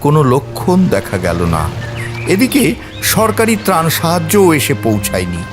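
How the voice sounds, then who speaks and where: A man's voice: on the high side, wildly swooping pitch, normal speed. A man narrating a scripted story in a theatrical, suspenseful way, with music and storm ambience behind.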